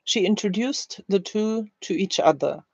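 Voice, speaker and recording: Woman, language teacher, slow deliberate phrasing, scripted drill reading, dry close sound, slightly lo-fi. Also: medium pitch, animated, darkish tone, slightly rough, no room sound. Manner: deliberate, carefully spaced short phrases.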